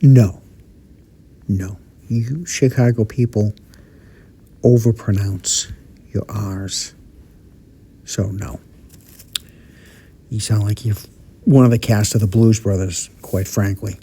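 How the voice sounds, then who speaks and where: A man's voice: lowish voice, steady tone, slow pace, long pauses, warm tone, breathy edge. A man hosting a podcast, giving wry, opinionated commentary and teasing his co-host.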